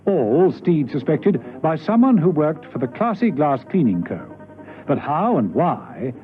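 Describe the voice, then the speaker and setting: A man narrating a spy serial in a dramatic scripted radio style. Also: mid-range voice. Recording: old radio broadcast, phone-like band, faint music.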